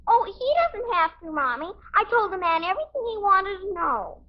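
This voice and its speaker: A woman's voice: very high voice, big pitch swings, moderate pace. An actress playing a chirpy, literal-minded little girl who earnestly recites things in a 1950s radio sitcom. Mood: eager, matter-of-fact. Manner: earnest, recites facts primly. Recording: muffled old radio sitcom recording.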